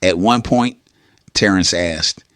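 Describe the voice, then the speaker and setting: Male narrator, very low voice, intimate bedtime storytelling, dry booth sound. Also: takes it slowly, fairly bright tone, no echo. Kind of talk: scripted storytelling.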